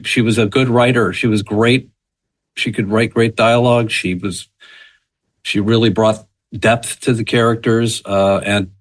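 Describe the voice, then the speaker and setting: A man who writes for television, telling anecdotes on a podcast in emphatic bursts, restarting phrases as he goes. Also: fairly low pitch. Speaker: television writer, panel guest. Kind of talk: anecdotal recollection in a podcast conversation.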